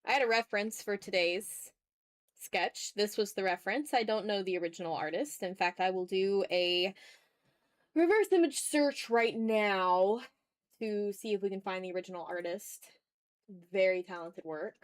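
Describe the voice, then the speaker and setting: A woman with a mid-pitched voice hosts a drawing stream. She chats informally with her viewers, moving between remarks on her work and greetings to people who drop in. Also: moderate pace. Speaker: host of an art livestream, video creator. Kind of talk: casual livestream chat with viewers while drawing. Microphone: streaming mic, newly placed a little far away.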